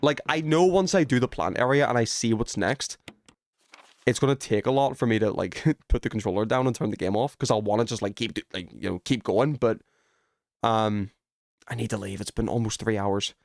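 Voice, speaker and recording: A man's voice: lowish voice, brisk, dry recording. A man chatting casually on a game livestream, thinking aloud, questioning himself and trailing off in informal, slangy speech. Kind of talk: casual livestream gameplay chat.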